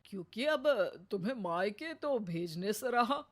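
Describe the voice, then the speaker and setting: A woman's voice: medium pitch, lively intonation, a little slow. A woman voicing a sarcastic old father-in-law who teases and mocks in a cartoon family story. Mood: teasing. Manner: wry, mocking.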